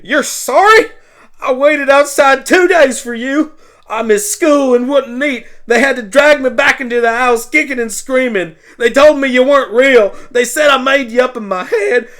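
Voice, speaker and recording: A man voicing a stern, hurt adult mare, a protective big sister with a forceful scolding manner, in an amateur radio play. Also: extremely high pitch, moderate pace. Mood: furious, anguished.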